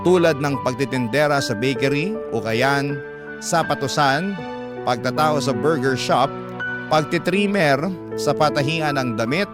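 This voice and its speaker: A man with a Filipino accent reading a listener's letter as radio storytelling narration. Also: fairly high pitch. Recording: music bed clearly behind voice.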